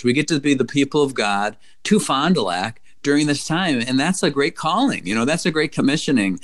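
An encouraging man speaks in an affirming, conversational way, sometimes pausing to rephrase. His voice sits at an ordinary pitch. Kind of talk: conversational podcast discussion.

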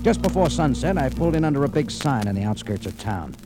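Guy acting as tough wry detective in old radio show, clipped lines, pitch moves a lot, music on the recording. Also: very animated, fast talker, close to an everyday voice, rough recording. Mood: tense, narrating. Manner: clipped, hard-boiled, wry. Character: tough, wry American cafe owner turned amateur sleuth. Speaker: lead actor and narrator. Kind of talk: 1940s radio detective drama, first-person narration.

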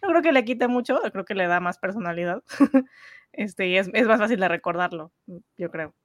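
A woman's voice: medium pitch, lively intonation, normal speed, no room sound, studio quality. A woman with a Mexican accent chatting casually, thinking aloud in a rambling, colloquial way. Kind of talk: casual chat.

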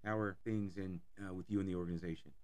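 A man hosting an interview podcast, his voice hoarse from a cold, talking with enthusiasm and repeating words. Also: low voice, fairly even intonation, fast talker, darkish tone. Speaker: podcast host. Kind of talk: casual interview.

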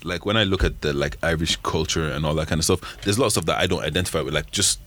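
A man's voice: very deep voice, rapid-fire, fairly bright tone. A man chatting casually and earnestly, filling his speech with lots of "like". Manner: earnest, hedging with many "like"s. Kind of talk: casual chat.

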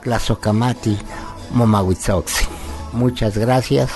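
A man from Cholula, Mexico, reciting verses in a slow, solemn, chanting way as a keeper of a dance tradition. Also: mid-range voice, steady tone, over music.

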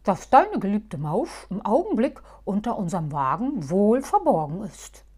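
A woman voicing a calm, strict little male gnome who explains things in a measured way. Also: medium pitch, slightly rough. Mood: calm explaining.

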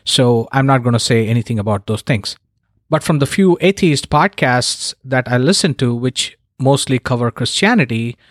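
A man's voice: mid-range voice, no echo, clean studio sound. A man from India hosting his own podcast, delivering a scripted monologue in an earnest, emphatic way with wry asides. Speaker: podcast host.

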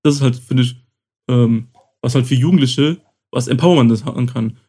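A man's voice: medium pitch, mellow, breathy edge. A man hosting a casual talk, explaining at length with frequent hesitations; his first language is Romani. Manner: explains slowly with many fillers. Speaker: host. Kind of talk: casual podcast-style explainer.

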